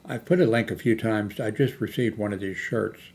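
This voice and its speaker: An older man hosting a casual unscripted vlog, thinking aloud in a hesitant, halting way as he browses products. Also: lowish voice, darkish tone, husky. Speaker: YouTube host. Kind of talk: rambling, unscripted screen-browsing product chat. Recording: noticeable background noise, homemade recording.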